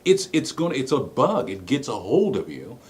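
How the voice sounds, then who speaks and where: A middle-aged man with a smooth broadcaster's voice, telling his personal story in an earnest, emphatic way that repeats phrases for effect. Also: medium pitch, very animated, moderate pace. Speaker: former radio broadcaster. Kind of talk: personal story and plea to camera.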